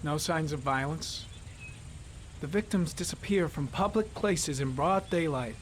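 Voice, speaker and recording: A man's voice: a little high, unhurried, slight background noise, lightly acted. A man voicing a weary, driven male FBI profiler who delivers case facts in a clipped, matter-of-fact way. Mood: clinical. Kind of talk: voicing a game character.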